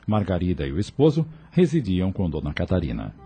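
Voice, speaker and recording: A man's voice: sits low, sing-song, fairly quick, over loud music. A man narrating a scripted radio drama in a dramatic storytelling style.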